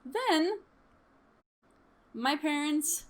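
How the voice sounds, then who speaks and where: Bright high-voiced young woman chatting. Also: extremely slow. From Chile. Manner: chatty, lively, with frequent laughs and fillers. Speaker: streamer. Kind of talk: casual storytime chat.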